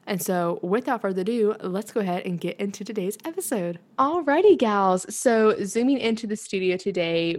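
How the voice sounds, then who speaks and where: A young woman co-hosts a podcast from an office in her apartment. She talks in a mid-pitched voice, chatty and upbeat, with lots of "like.". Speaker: podcast co-host. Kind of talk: casual podcast chat.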